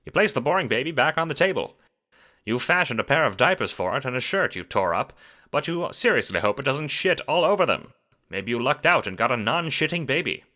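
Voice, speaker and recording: A man's voice: medium pitch, big pitch swings, brisk, sharp tone. A man narrating a scripted second-person story in a theatrical, wry manner. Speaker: narrator of an audio drama.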